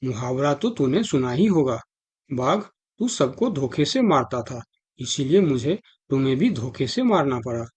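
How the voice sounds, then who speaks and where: A man's voice: medium pitch, big pitch swings, normal speed, darkish tone, husky. A man voicing a stern, vengeful lion king who speaks in commanding proclamations in an animal fable cartoon.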